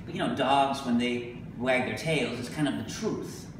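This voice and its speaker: A middle-aged man giving a live poetry reading, speaking deliberately with emphatic pauses. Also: mid-range voice. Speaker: poet. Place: indoor venue with a staircase, live reading. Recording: echoey room from a distance, noisy.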